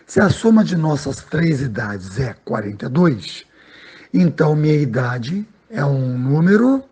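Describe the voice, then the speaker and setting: A man's voice: a little high, big pitch swings, a little slow, darkish tone, breathy edge. A man, a math teacher patiently explaining a lesson step by step and often checking in with his listeners.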